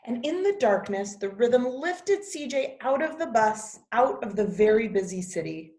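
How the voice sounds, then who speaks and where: A woman reading a children's picture book aloud as a teacher, with expressive storybook narration. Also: a little high, unhurried. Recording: echoey, roomy sound.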